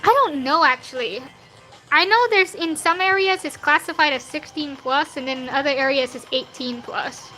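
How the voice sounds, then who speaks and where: A woman's voice: high voice, moderate pace, bright, forceful tone, faint music behind, rough recording. A nineteen-year-old woman with a youthful voice that sounds younger than her age, chatting casually in a slangy, rambling, self-deprecating way as she streams her drawing. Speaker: streamer drawing fan art live. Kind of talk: casual livestream chat while drawing.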